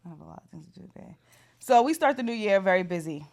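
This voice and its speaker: A woman's voice: medium pitch, expressive, fast talker, creaky, darkish tone. A woman in her thirties co-hosting a podcast, teasing her husband with dry comebacks. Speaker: podcast co-host. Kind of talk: casual chat.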